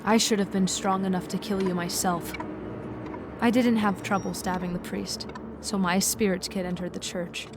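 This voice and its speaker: A woman's voice: mid-range voice, steady tone, normal speed, close to an everyday voice. A woman playing an embittered, obsessive young villainess in a fantasy audiobook, intense and self-justifying. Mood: cold confession. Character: embittered, obsessive young woman, dangerous, power-hungry twin sister. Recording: clear ambient noise behind voice.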